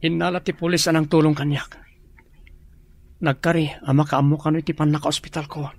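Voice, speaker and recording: A man's voice: on the high side, little pitch movement, fairly quick, creaky, dry recording. An actor playing an injured, downcast young male worker in an Ilocano radio drama, with an Ilocano accent. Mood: pained, downcast.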